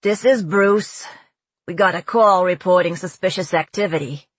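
A woman's voice: lowish voice, moderate pace, slightly rough, no echo. Woman doing a hearty Brooklyn Coast Guard man. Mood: jovial. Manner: hearty, loud, informal. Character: jovial Brooklyn Coast Guard man, chatty old acquaintance.

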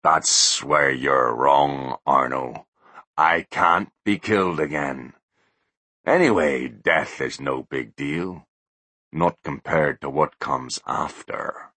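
A man doing a slow, ominous ghostly bodyguard. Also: a bass voice, bright, forceful tone, no echo, pitched below the performer's own voice, full character voice. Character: menacing older male bodyguard posing as a ghost.

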